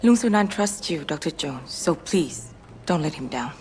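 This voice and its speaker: A woman's voice: low-pitched, animated, moderate pace, a little mellow. A woman playing a composed, stern and protective Thai village woman, speaking formally in a game cutscene. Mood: serious, formal. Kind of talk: cinematic game cutscene acting.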